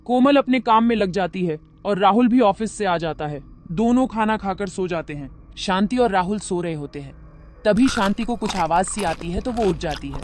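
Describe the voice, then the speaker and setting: A narrator telling a cartoon horror story in clear, even storybook narration. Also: medium pitch, normal speed. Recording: loud background music.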